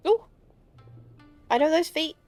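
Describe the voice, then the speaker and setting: A woman's voice: high voice, lively intonation, slow. A woman streaming a game, giving casual, playful reactions and wry, jokey asides. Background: game music.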